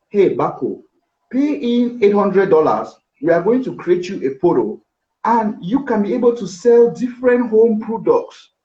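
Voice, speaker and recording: A middle-aged man with a Cameroonian accent tells stories in a conversational way. His pitch is slightly high and very animated. The sound is echoey, as from an office on a video call. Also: muffled recording. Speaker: interview guest, business executive. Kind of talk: conversational personal storytelling. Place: indoor office. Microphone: video call, seated back from device.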